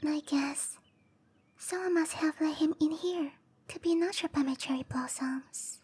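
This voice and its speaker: A woman voicing a gentle, caring cherry blossom dryad girl in an ASMR roleplay, musing softly to herself in a breathy, often whispered voice. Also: sits high. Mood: gentle, sympathetic. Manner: musing to herself, slow and tender.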